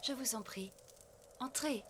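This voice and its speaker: A woman playing a humble, gentle, welcoming slave wife in a French cartoon dub. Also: fairly high pitch, unhurried, slight background noise, natural voice.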